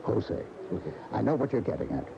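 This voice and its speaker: Radio drama: world-weary, guarded American, expressive delivery, dull AM sound with light noise. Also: male voice, fairly low pitch, moderate pace, creaky, heavily acted. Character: jaded, guarded American aficionado of bullfights. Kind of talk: 1980 radio drama acting. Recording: muffled, noisy 1980 AM radio.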